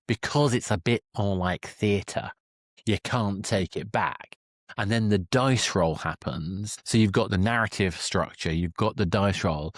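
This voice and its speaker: A man's voice: on the low side, dry recording, studio quality. A man hosting a podcast interview, thinking aloud in an analytical, conversational way. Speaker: podcast host.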